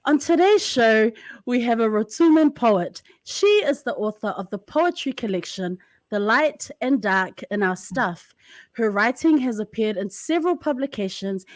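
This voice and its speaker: An enthusiastic woman hosts a podcast, her voice fairly high and up close. The sound is dry, with no room echo, and her talk is casual and full of easy fillers. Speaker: podcast host, educator. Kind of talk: interview host chat.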